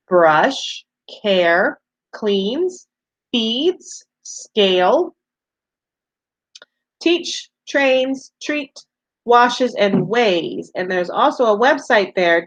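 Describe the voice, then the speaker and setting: A woman's voice: mid-range voice, a bit bright, rough recording. A woman teacher giving a read-aloud lesson to young children, speaking simply and encouragingly, with questions to the listeners.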